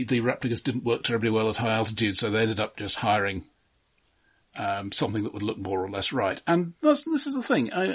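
Husky-voiced man, hesitant and detail-minded. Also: medium pitch. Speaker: film discussion podcast co-host. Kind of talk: casual film discussion.

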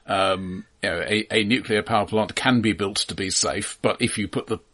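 A man's voice: lowish voice, moderate pace, a bit bright. A man co-hosting a film podcast in casual discussion, analytical and often stammering over how sentences start.

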